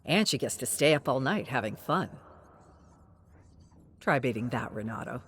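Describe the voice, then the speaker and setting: Animated woman narrating a story. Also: lowish voice, slight background noise.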